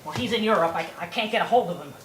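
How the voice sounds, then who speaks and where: A woman's voice: mid-range voice, racing, echoey room, a little hiss or hum, lightly acted. Lady doing a stammery desperate young guy, begging, mic kinda far off. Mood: pleading. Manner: stammering, repeats words.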